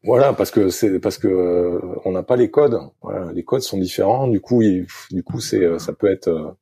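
A deep, gravelly-voiced middle-aged man talks through his answers in an interview, stopping and restarting often. His tone is dark and husky. The sound is dry and close. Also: no echo. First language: French. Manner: halting, many fillers and restarts. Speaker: sailing coach, guest. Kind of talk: hesitant conversational interview answers.

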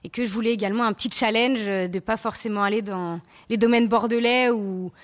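A woman's voice: medium pitch, steady tone, a little fast. A woman from Savoie, a winery director, answering interview questions with frequent hesitant fillers. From Savoie, France. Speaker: guest, winery operations director. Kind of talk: radio interview.

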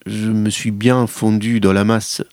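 A musician guest whose first language is Italian speaks in a low, gravelly voice. He halts often, circling back over his words. The sound is close and dry. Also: level tone, darkish tone, dry recording. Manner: halting, many restarts and fillers. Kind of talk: casual interview talk.

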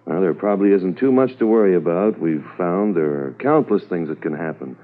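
An actor playing a weary, conscientious senior police commissioner in a 1950s radio drama, measured and formal. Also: male voice, deep voice, lively intonation. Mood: resigned, reassuring. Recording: muffled 1950s radio recording.

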